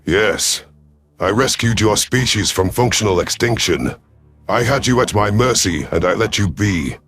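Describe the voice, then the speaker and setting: Man voicing a deep, husky alien predator commander. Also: animated, fairly bright tone, faint music behind, exaggerated voice. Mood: imperious, threatening. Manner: imperious, menacing, deliberate. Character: cold, calculating alien reptilian predator commander, male. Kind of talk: dramatic first-person sci-fi audio story.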